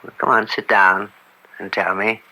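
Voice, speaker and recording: A man's voice: fairly low pitch, steady tone, normal speed, full character voice, poor audio. An actor playing a genial, indulgent middle-aged judge and father in a radio drama, brushing worries aside in a fatherly way. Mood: indulgent, concerned. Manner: fatherly, dismissive of worry. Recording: bright old-time radio recording.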